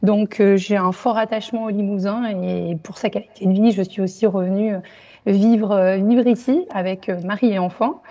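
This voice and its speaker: A woman's voice: medium pitch, steady tone, normal speed, warm tone, lo-fi sound. A woman from Limoges, a company head, answering interview questions in a composed, thoughtful way. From France, Limoges. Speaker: business owner, guest.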